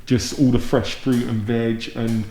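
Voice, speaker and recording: A man with a southern English accent hosts a casual conversation in an echoey room. His pitch is average, and he talks in an informal, chatty storytelling way. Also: level tone, unhurried, warm tone, a little hiss or hum. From Luton, England. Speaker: podcast host. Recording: roomy, echoey indoor sound.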